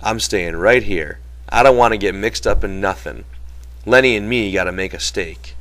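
A man's voice: on the low side, normal speed, fairly bright tone, lightly acted. A man reading the part of a wary, practical ranch hand in an audiobook, with terse working-man slang.

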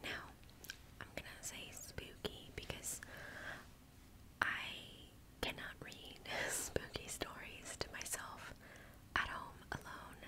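A woman whispers softly and very slowly, as if for an ASMR storytime. Her delivery is gentle, careful and unhurried, kept close to the microphone. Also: low-quality recording. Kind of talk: ASMR storytime, whispered reading of a spooky tale.

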